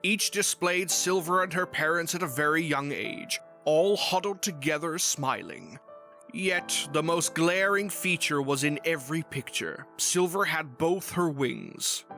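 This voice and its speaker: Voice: mid-range voice, fairly bright tone. A narrator reading a scripted fantasy story in a storybook style.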